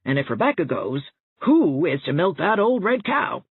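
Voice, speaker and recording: A woman's voice: fairly low pitch, sing-song, normal speed, breathy edge, narrow, tinny audio, heavily acted. A woman voicing a chatty, well-meaning older small-town busybody in an audiobook, with a folksy, rambling, confiding manner. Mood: matter-of-fact, knowing. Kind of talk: audiobook character dialogue. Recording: thin band-limited audio, roomy echo.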